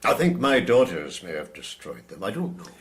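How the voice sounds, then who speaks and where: A man's voice: sits low, big pitch swings. An actor playing an elderly, grieving clergyman father in a period radio drama, guarded, courteous, formal and hesitant. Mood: guarded, regretful. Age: older. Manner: hesitant, measured, formal wording.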